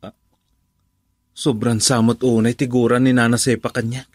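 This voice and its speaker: A man acts out a humble young working man in a radio drama, speaking at a medium pitch with an Ilocano Filipino accent. He is plain-spoken and deferential, loyal in temper, and recorded very dry. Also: little pitch movement, moderate pace, a little mellow, no echo, natural voice. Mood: earnest, reassuring.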